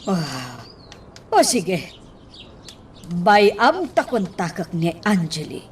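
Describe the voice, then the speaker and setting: A woman's voice: medium pitch, huge pitch swings, very slow, far from the mic, a little hiss or hum, full character voice. An actress playing a fugitive's questioning female accomplice in an Ilocano radio drama. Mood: curious, agreeing.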